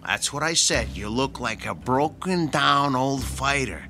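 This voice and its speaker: An actor playing an anxious sidekick in a radio drama, talking in a nervous, pleading, stammering way. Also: male voice, medium pitch, lively intonation, a little slow, creaky, pushed and bright, slight background noise, exaggerated voice.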